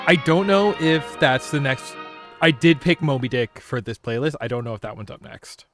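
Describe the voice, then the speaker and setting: A man's voice: medium pitch, moderate pace, a bit bright, soft background music. A man chatting casually as he livestreams a game. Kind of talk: casual gaming stream chat.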